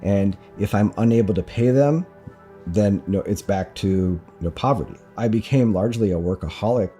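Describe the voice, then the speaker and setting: A man's voice: lowish voice, normal speed, mellow, soft background music. A man in his forties from Minnesota speaking plainly and candidly in a documentary interview. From Minnesota, United States.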